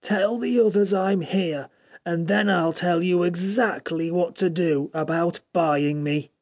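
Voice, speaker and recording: A man voicing a small, sad magical creature that speaks softly, pleading and close to tears. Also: high voice, slow pace, pitched above the performer's own voice.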